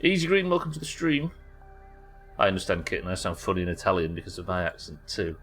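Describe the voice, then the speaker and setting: A British man with a fairly low voice that swings widely up and down as he talks, chatting loosely to his stream audience. He wanders from thought to thought, picking up viewers' messages and mulling them over. Soft game music plays underneath. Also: very animated, fast. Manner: rambling, reads chat messages aloud and muses over them. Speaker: streamer host. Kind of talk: casual live-stream chat while gaming.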